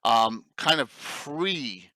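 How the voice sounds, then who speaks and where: A man's voice: medium pitch, animated, very slow, bright, forceful tone. A man with a Philadelphia accent hosting a casual livestream chat, eager and rambling with lots of fillers. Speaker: host.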